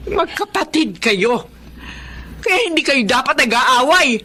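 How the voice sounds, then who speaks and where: A woman's voice: high voice, big pitch swings, a little slow, bright, forceful tone, exaggerated voice. An actress playing an earnest mother and grandmother who reasons and scolds in a Tagalog radio drama. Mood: exasperated, scolding. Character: exasperated mother and grandmother of two quarrelling sons.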